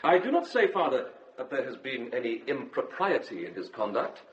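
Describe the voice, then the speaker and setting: An actor playing a pious, insinuating monk in a radio drama, with formal and sanctimonious delivery. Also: male voice, medium pitch, very animated, normal speed, reverberant, muffled recording, a little hiss or hum, lightly acted.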